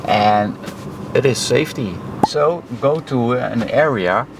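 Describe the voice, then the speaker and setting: A man with a Dutch accent, a driving instructor speaking in a casual interview, halting and simple with lots of fillers. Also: medium pitch, animated, creaky. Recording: noisy background hum.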